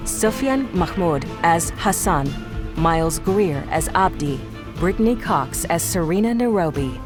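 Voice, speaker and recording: A woman reading scripted narration for an audio drama. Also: lowish voice.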